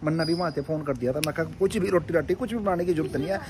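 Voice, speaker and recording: A man, chatting casually and loudly as he vlogs at a village cricket tournament, thanking people and joking. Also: a little high, racing, background noise. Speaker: vlogger. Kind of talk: casual outdoor vlog chat. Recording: loud crowd around. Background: crowd.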